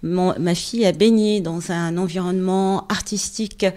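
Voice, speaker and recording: Unhurried middle-aged woman from Morocco. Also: fairly low pitch, fairly even intonation, mellow. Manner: measured and unhurried, pausing often to find words. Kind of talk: casual interview, explaining her trade.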